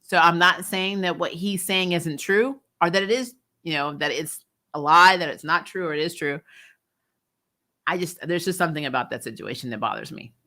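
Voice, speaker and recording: A woman's voice: fairly low pitch, normal speed. A woman hosting a fan recap show, giving casual, opinionated TV commentary in a blunt, sweary way. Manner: blunt, sweary, animated mock-quoting.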